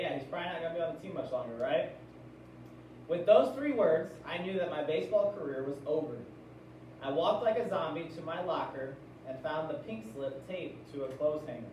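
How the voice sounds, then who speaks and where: A man's voice: on the high side, a little mellow. An American man speaking as a teacher in a classroom, reading aloud and explaining, often checking in with questions. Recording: very echoey room, distant mic, noisy.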